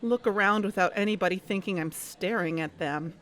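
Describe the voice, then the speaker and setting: A woman's voice: mid-range voice, a little fast, fairly bright tone, slight background noise, close to an everyday voice. Uneasy woman investigator played by an actress. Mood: uneasy, reflective. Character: uneasy, watchful woman investigator, secretly recording. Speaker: narrator and lead character of an audio drama. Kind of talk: scripted first-person narration and dialogue.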